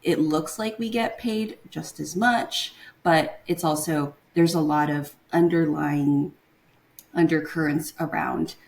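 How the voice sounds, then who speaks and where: A young woman from Taiwan with a low-pitched voice talks as a guest in a casual interview. She speaks in a hesitant way, filling gaps with "um" and "like" while she hunts for the right term, and her voice sits low and steady. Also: takes it slowly, gravelly. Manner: hesitant, searching for words with many fillers.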